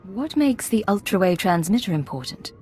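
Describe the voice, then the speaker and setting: An actress playing a secret agent in a video game, speaking in a terse, dry, businesslike way. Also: female voice, medium pitch, very animated, moderate pace, warm tone, slight background noise, natural voice.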